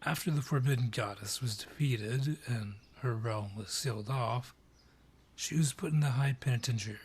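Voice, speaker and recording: A man acts out a sardonic forest dryad in a fantasy audio drama. He is gruff and world-weary, with a breathy, husky voice. He takes his time over every phrase, like a reluctant keeper of old lore. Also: mid-range voice, exaggerated voice. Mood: grave, storytelling. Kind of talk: fantasy audio roleplay acting.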